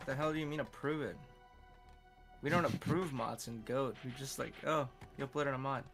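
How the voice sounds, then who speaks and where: A man's voice: mid-range voice, normal speed, fairly bright tone, faint music behind. A man with a Californian English accent chatting casually while co-streaming a game, rambling and cursing freely. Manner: rambling, profane, loose. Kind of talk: casual gaming chat.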